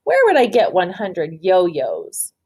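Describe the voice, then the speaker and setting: A woman's voice: fairly low pitch, huge pitch swings, slow, darkish tone, slight background noise, full character voice. A woman voicing an anxious young schoolgirl from a storybook, fretting in groaning questions. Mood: dismissive. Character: anxious first-grade girl fretting over everything.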